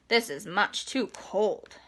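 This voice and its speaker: A woman voicing a curious, picky young girl in a storybook, with drawn-out, emphatic exclamations. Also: mid-range voice, fairly bright tone, rough recording. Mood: dissatisfied. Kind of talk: storybook character voice.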